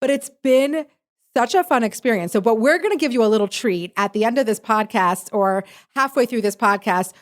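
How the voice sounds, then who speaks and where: A woman's voice: on the high side, lively intonation, brisk. A woman hosting a podcast, chatty and enthusiastic, talking casually with a guest. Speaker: podcast host. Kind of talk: casual conversation.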